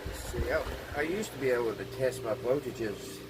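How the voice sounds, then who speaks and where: A man with a mid-pitched voice talks casually to his viewers as he works. He sounds folksy and unscripted, thinking aloud as he goes. A loud, steady hum of noise runs behind his voice. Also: moderate pace. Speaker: host of a radio repair channel. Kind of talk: casual hands-on narration while working.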